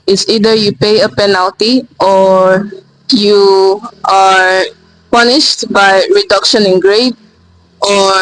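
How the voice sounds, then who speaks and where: Teenage student answering, halting then steady. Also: mid-range voice, dry recording, rough recording. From Nigeria. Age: teens. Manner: thoughtful, halting starts, then steady explaining. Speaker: interviewee, high school student. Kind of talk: casual interview answers.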